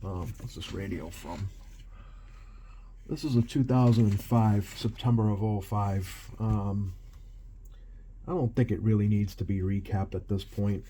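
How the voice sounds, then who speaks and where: A man giving casual, halting hands-on repair commentary, thinking aloud as he works at a bench. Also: on the low side, fairly even intonation, dark tone, a little hiss or hum. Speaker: radio repair technician, video host. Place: small indoor workshop at an electronics bench.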